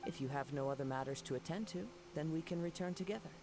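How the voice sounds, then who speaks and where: A man voicing a serene, poetic young wandering swordsman in a video game, speaking in a measured, formal, gentle manner. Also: on the high side, over loud music, lightly acted. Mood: calm, wistful.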